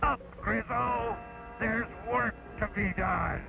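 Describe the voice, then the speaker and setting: Man acting a mad-scientist villain, gloating, very slow, creaky, theatrical menace, space adventure story, music behind. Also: high-pitched, pitched below the performer's own voice, exaggerated voice. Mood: barking orders. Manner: menacing, deliberate, theatrical delivery. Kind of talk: dramatic reading of a children's space adventure. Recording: loud music under the voice.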